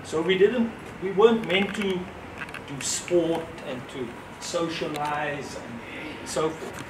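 A man in his sixties giving a reflective, measured speech to an audience at a gallery opening, with pauses between phrases. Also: fairly high pitch. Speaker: speaker at an exhibition opening. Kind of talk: unscripted public speech. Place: gallery entrance, indoors facing an audience. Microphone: far camera, no mic seen. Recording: roomy, distant, noisy ambience.

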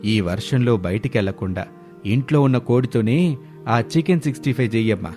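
Man putting on a low older father-in-law, music behind. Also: a little fast, mellow, close to an everyday voice. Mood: coaxing. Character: older father-in-law, hungry, homely, gently commanding. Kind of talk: storybook character voice.

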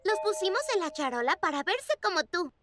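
Young bunny girl, very high voice, earnest big-sister bossiness, cartoon dub, music behind. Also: lively intonation, a little fast.

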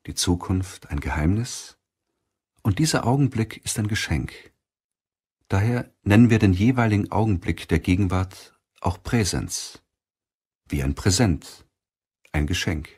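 A man reading a self-help audiobook in a measured, deliberate way with pauses. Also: lowish voice, breathy edge. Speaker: audiobook narrator. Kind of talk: scripted audiobook narration of a self-help book.

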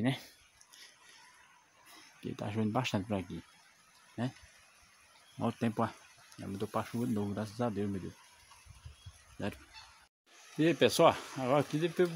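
A man's voice: fairly low pitch, fairly quick, gravelly, pauses often, a little hiss or hum. A man, a bricklayer vlogging casually from a rural building site, chatty and devout, pointing things out as he films on his phone. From Brazil. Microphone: phone camera held by the speaker.

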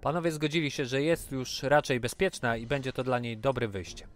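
A man narrating a recap of a tabletop game in an even storytelling manner. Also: mid-range voice, moderate pace. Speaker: game master. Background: music.

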